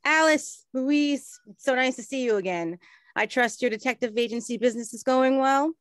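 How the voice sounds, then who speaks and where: A woman's voice: sits high, moderate pace, lightly acted. A woman playing a polite, businesslike 1920s government professional in a radio-style play. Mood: cordial.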